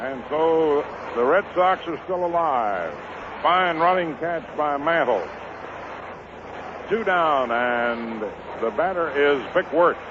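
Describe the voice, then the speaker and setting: A man's voice: fairly high pitch, lively intonation, slow pace. An American man calling baseball play-by-play in clipped short phrases, in the style of 1950s radio sports commentary. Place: outdoor ballpark broadcast. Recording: muffled, noisy 1950s radio broadcast.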